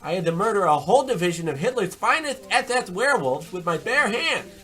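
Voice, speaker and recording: A man playing a cocky, boastful diner chef and war veteran in a comedic radio play, brash and quick to butt in. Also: high-pitched, big pitch swings, sharp tone, heavily acted. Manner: brash, bragging, interrupting, calls people pal. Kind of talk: comedic old-time radio play acting.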